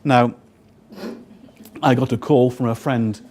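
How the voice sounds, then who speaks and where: A man's voice: mid-range voice, fairly even intonation, takes it slowly, darkish tone. A British man in his fifties, a guest speaker and interpreter, telling a personal story to a live audience with dry, wry humor. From United Kingdom. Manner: conversational, acts out quoted exchanges.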